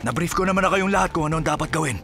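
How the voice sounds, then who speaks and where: A man playing a steady, authoritative police captain with terse delivery in an action drama. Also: a little high, rapid-fire, creaky. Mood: tense, focused. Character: steady, commanding police captain leading an operation. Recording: music under the voice.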